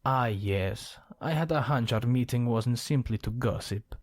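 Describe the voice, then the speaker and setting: A man's voice: medium pitch, unhurried, no echo, clean studio sound, close to an everyday voice. A man playing a suave, gossipy, scheming count in an ASMR roleplay, speaking in a genteel, theatrical manner. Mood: courtly, knowing. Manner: genteel, theatrical, arch phrasing.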